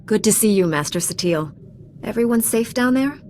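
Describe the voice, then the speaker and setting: A woman playing a steadfast, earnest Jedi hero in a video game, calm and resolute. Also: medium pitch, a little fast, slight background noise, natural voice.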